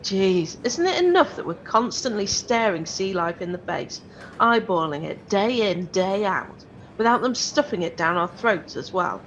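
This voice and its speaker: A woman's voice: mid-range voice, sing-song, unhurried, a bit bright, dull audio, a little hiss or hum. A woman playing a cynical, foul-mouthed hotel worker in an audio drama, blunt and sarcastic. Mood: indignant.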